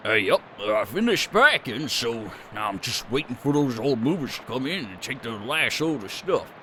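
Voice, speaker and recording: A man's voice: medium pitch, big pitch swings, moderate pace, sharp tone, a little hiss or hum, voice pushed down. A man voicing an aging, homely male farmer who talks in a rambling country drawl. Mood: folksy.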